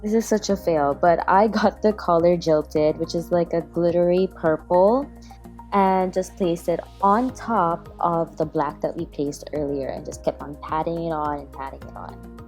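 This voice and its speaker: A woman's voice: lowish voice, steady tone, a little slow, a little mellow. A woman giving a casual makeup tutorial voice-over in a chatty, self-deprecating way. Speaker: beauty vlogger. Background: background music.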